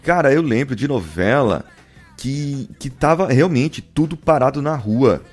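A man's voice: mid-range voice, lively intonation, measured pace, a little mellow, faint music behind. A man with a Brazilian Portuguese accent, a podcaster leading a casual conversation and putting questions to his co-hosts. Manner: conversational, asks questions to the others.

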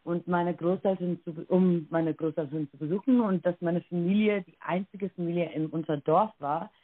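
A woman's voice: lowish voice, normal speed, no room sound. A young Irish woman with an Irish accent, answering interview questions hesitantly, with many filler sounds and self-corrections. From Ireland. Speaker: interview guest. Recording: tinny phone-like line, dry.

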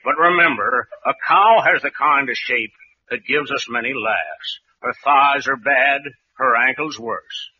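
An actor playing a doleful, dog-like dog doctor with deadpan delivery in a 1940s radio comedy. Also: male voice, medium pitch, a little slow, husky, no echo, exaggerated voice. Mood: deadpan joking. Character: slow, doleful dog doctor who acts like a dog. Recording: very noisy, dull vintage radio recording.

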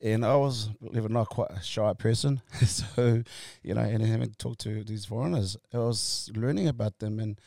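A man in his forties from Tonga, telling his story as an interview guest in a halting way, full of fillers and restarts, laughing easily. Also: on the low side, vocal fry, a little mellow, no room sound. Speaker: guest, company co-founder. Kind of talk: casual interview chat.

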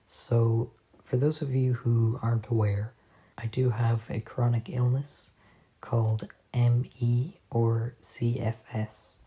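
A hoarse man hosting a casual solo podcast at home, soft-spoken and halting, recorded on a phone voice memo. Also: medium pitch, little pitch movement. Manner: soft-spoken, halting, drifting off mid-sentence. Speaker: podcast host.

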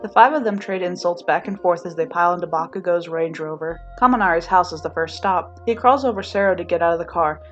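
A woman with a fairly low voice narrates a scripted story expressively. The recording is a little muffled, and soft music plays underneath. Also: fast, hardly any pauses. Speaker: narrator reading fan fiction.

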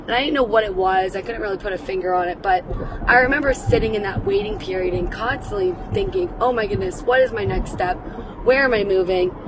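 Woman, slightly raspy, encouraging podcast host, mid pitch, recorded in a car, clear road noise. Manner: upbeat, encouraging. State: raspy voice from cheering. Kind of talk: wellness podcast, guided breathing.

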